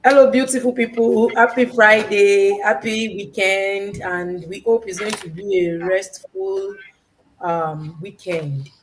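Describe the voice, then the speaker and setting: An educator from Nigeria greeting viewers cheerfully on a live stream. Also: female voice, medium pitch, steady tone, slow, slight background noise, rough recording.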